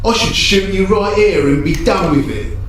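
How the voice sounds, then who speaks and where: An actor playing a shifty, foul-mouthed small-time smuggler in a video game, blustering and cocky, heard distant and echoey. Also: male voice, high-pitched, normal speed, fairly bright tone, slight background noise. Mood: hostile, cocky. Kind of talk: video game cutscene acting.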